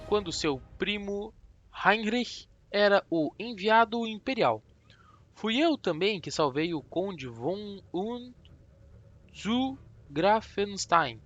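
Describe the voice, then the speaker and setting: A man playing a calm, ironic, self-assured detective in an audiobook. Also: high voice, muffled recording, voice pushed up. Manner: dry, mocking, self-assured.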